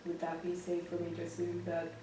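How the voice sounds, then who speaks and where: A young woman with a lowish voice hosts a relaxed campus chat, peppering her questions with fillers and giggles. She sounds a little way off the mic, in a very echoey room with faint background noise. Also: brisk, recorded from a distance. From grew up in Japan. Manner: chatty, giggly, many fillers. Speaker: host. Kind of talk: casual campus interview.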